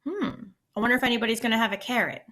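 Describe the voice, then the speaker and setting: A teacher reading a picture book aloud to young children, with expressive voices and little asides to the class. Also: female voice, a little high. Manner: animated, pausing to comment to children. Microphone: screencast computer mic.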